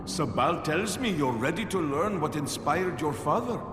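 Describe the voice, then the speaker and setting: Man as a high-voiced monk in echoing hall. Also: lively intonation, moderate pace, background noise, natural voice. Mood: serene. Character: serene male monastery monk, wise spiritual guide. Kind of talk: video game spiritual instruction. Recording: echoey hall, distant, loud music.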